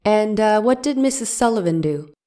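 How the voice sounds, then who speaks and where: A woman's voice: medium pitch, expressive, moderate pace, warm tone, lightly acted. Woman playing a probing 1890s courtroom attorney. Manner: pressing, leading questions. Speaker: cross-examining lawyer. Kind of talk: dramatic reading of a trial transcript.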